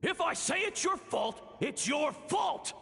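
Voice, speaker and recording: A cavernous reverb surrounds a harsh, bullying man in a game. He scolds in a forceful, gravelly voice that menaces. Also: extremely high pitch, sing-song, slow, exaggerated voice. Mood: furious, threatening. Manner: scolding, threatening. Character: harsh, bullying adult scolding in a nightmare memory. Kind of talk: video game voice acting.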